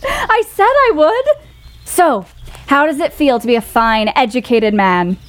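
Spirited young writer girl, high voice, earnest n proud, old timey audio play. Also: unhurried. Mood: playful. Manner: expressive, earnest. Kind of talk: period audio drama acting.

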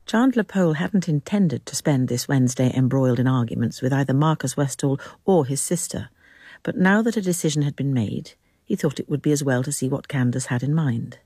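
An actress playing a measured storyteller with a mellow, husky voice in scripted literary radio drama narration. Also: female voice, very deep voice, no room sound, studio quality, exaggerated voice. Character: measured third-person storyteller.

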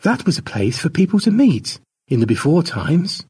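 A protective clan mother from a storybook, acted out by a male narrator, pitched well up and husky. She speaks in a motherly, insistent way to the young one in her care. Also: sing-song, moderate pace, darkish tone, full character voice. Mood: reassuring. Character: protective, reassuring woman of a primitive clan.

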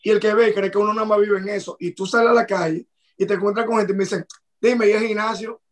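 High-voiced Dominican man, casual chat. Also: fast talker. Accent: Dominican Spanish. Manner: relaxed storytelling. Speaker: podcast panelist.

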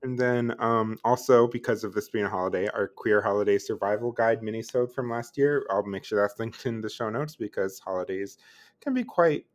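A co-host from Pennsylvania talking through a casual podcast chat. The voice sits in a middle range and the delivery is direct and relaxed, with frequent ums and likes. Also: medium pitch, normal speed. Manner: direct, relaxed, thinks aloud with fillers.

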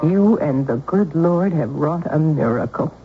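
A woman playing a frank, caring older aunt in old-time radio drama, with a dry, measured manner. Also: low voice, lively intonation, over music. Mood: gentle, consoling. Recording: muffled, faintly noisy old radio recording.

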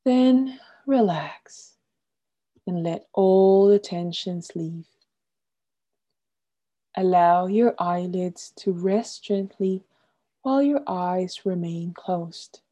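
Female meditation guide, extremely soft dark tone, unhurried delivery, soothing and gentle, phrases trailing off softly. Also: on the low side. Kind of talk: guided relaxation meditation, scripted instruction.

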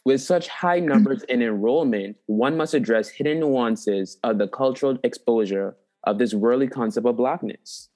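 A young man hosts a laid-back podcast with lots of playful energy. He laughs easily and stretches words out for emphasis, sitting in a middle pitch. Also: normal speed, hardly any pauses. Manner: animated, playful, laughs often, stretches names. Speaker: podcast host. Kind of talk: casual interview podcast.

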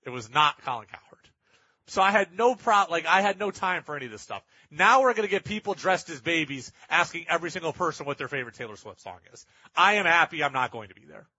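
A man's voice: fairly high pitch, animated, quick pace, pushed and bright, husky, no echo, dull audio. A man hosting sports talk radio, delivering opinionated monologues in an exasperated, emphatic, repetitive way.

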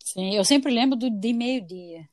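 A woman's voice: a little high, a little slow, darkish tone, dry recording, studio quality. A Brazilian woman in her thirties with a mixed Brazilian accent, hosting a casual podcast chat with playful asides. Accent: mixed Brazilian Portuguese. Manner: chatty, laughing, playful asides. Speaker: podcast host.

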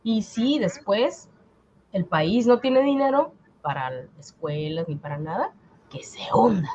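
A woman's voice: medium pitch, big pitch swings, measured pace, background noise. A woman playing a sardonic, world-weary commoner with wry colloquial talk, in an amateur radio drama on a dull home recording. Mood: scornful.

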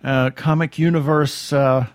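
A man's voice: a little high, steady tone, a little slow, dry recording. A man co-hosting a casual interview podcast in an enthusiastic, exclamatory way. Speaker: podcast co-host.

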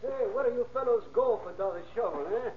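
A man's voice: very high-pitched, fast, slight background noise. A man playing an uneasy, grumbling Italian gang driver in an old-time radio crime drama, speaking broken English with an Italian accent. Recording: tinny, band-limited old radio recording.

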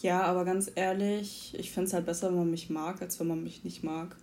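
A woman's voice: lowish voice, level tone, normal speed, darkish tone, roomy sound, slight background noise. A young woman chatting casually on a vlog, dry and sarcastic, often trailing off unsure. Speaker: vlog co-host. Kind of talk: casual chat.

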